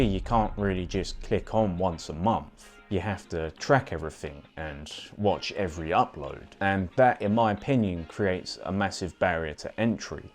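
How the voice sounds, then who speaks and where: A man presenting a scripted video essay in an explanatory way, with emphatic phrasing and pointed pauses. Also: low-pitched. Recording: voice-over with background music. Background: music.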